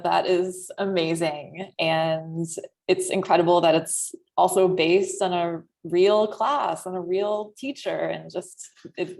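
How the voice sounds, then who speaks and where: A woman's voice: fairly low pitch, gravelly, darkish tone. A woman moderating an online exhibition, praising the artists and handing over to the next group. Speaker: event moderator. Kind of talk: online event hosting.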